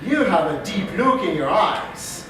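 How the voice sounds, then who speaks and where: A man's voice: sits high, big pitch swings, measured pace, fairly bright tone, a little hiss or hum, exaggerated voice. A man with a French accent doing a brash, self-assured Wild West character in a live improv scene. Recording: distant, very echoey live venue.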